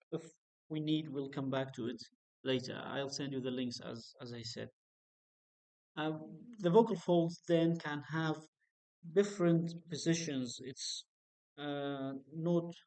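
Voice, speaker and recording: A man's voice: a little high, a little mellow, clear, resonant tone, poor audio. A man giving an online phonetics lecture, hesitant and full of fillers and restarts. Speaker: university lecturer.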